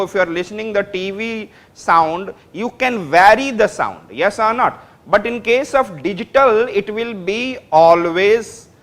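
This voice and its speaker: A man's voice: sits high, a bit bright. A man teaching a class in Indian-accented English, repeating his points step by step and often checking that students follow. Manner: repetitive, explains step by step, checks understanding. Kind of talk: classroom lecture.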